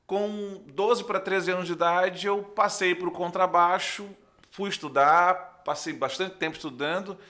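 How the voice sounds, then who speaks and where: A young man with a northeastern Brazilian accent, a pastor and podcast guest, giving a conversational testimony and acting out dialogue as he tells it. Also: on the high side. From Recife, northeastern Brazil. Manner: animated storyteller, acts out dialogue, often checks with "né".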